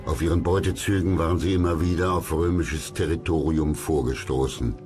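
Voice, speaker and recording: A man reading scripted documentary narration in a measured, dramatic storytelling way. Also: rumbling low, steady tone. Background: music behind the voice.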